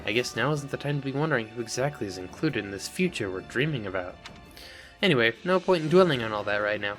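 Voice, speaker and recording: A serious, thoughtful war leader , acted by a man, speaks briskly and with determination. It is dramatic fantasy game acting, with music clearly playing behind. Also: mid-range voice, expressive, a bit bright, natural voice. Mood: conflicted, pragmatic.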